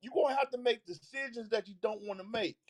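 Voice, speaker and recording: Man, very high voice, animated, casual sports chat, live stream co-host. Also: fast talker. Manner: animated, repeats words while building a point.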